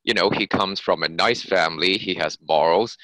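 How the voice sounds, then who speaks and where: A man's voice: low-pitched, normal speed, pushed and bright, dry recording, rough recording. A man being interviewed as an author, explaining his fiction conversationally in long, run-on sentences.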